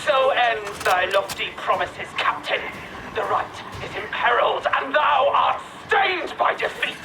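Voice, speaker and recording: High-pitched, pompous plague cultist. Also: male voice, very animated, measured pace, over music, exaggerated voice, low-quality recording. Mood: contemptuous. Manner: pompous, threatening, archaic phrasing. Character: archaic, scornful male cultist herald of a plague god. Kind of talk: theatrical video game villain acting.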